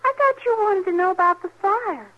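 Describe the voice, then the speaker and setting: A woman's voice: very high voice, fairly quick, soft background music. A woman playing an inquisitive, plain-spoken little frontier girl in an old-time radio western, with a persistent, childlike manner. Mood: matter-of-fact. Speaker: radio drama actor. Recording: muffled old radio recording.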